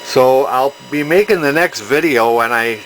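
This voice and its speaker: Bright-voiced man talking through repairs. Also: medium pitch, unhurried, a little hiss or hum, low-quality recording. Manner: plain-spoken, technical, thinking aloud. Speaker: electronics repair technician and video host. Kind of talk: casual hands-on repair commentary.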